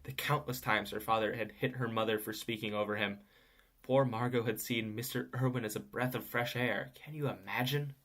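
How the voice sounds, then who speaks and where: A man's voice: medium pitch, steady tone, moderate pace, fairly bright tone, lightly acted. A young man playing a gossipy storyteller who narrates an audio drama with wry, knowing asides. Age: young adult.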